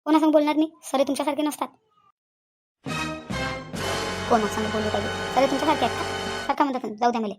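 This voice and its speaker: Woman acting fast-talking, high-voiced anxious village women. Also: over loud music, close to an everyday voice. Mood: irritated. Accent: Vidarbha Marathi. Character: anxious village women in domestic family drama. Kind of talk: dramatised cartoon dialogue in colloquial speech.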